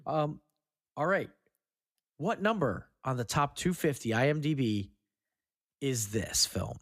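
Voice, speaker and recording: A man's voice: medium pitch, sing-song, takes it slowly, a bit bright, no room sound, studio quality. A man hosting a film podcast, talking in a casual, conversational way with some offhand swearing. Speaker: podcast host.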